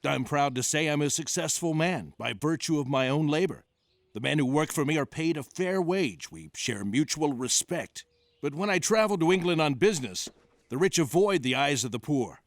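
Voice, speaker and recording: An actor playing a proud, principled self-made colonial businessman in an animated series, measured and dignified. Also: on the high side, no room sound, studio quality. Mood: proud, firm.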